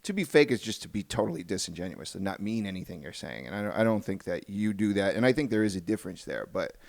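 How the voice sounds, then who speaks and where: A man hosting a podcast in a casual conversational way, rephrasing his thoughts haltingly as he explains them. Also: low voice, lively intonation, gravelly, darkish tone, no echo. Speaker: podcast host.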